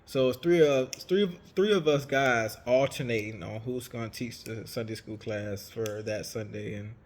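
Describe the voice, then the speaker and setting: A man's voice: medium pitch, moderate pace. A man in his late twenties, raised in Savannah, Georgia, talking casually and rambling, often correcting himself. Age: 20s. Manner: rambling, self-correcting, chatty. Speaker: vlogger, entrepreneur. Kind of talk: casual storytelling monologue.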